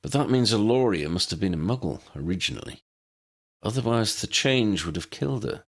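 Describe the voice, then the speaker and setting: A man's voice: fairly low pitch, animated, a little fast, pitched below the performer's own voice. A man voicing a serious, discreet young male team leader in an audiobook, direct and polite. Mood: thoughtful.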